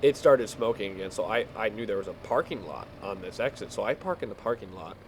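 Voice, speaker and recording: A man casually telling a rambling story while playing a game, halting and repeating words as he goes. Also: fairly low pitch, expressive, slight background noise. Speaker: video game player telling stories.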